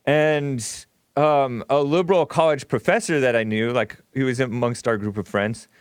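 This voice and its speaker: A man's voice: medium pitch, moderate pace, smooth, dry recording. A middle-aged man, co-host of a stream, talking in a casual, rambling monologue with many fillers and self-corrections.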